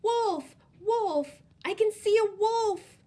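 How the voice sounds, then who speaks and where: A woman voicing a frightened young boy shepherd who shouts high and urgent for help. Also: slow. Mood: panicked.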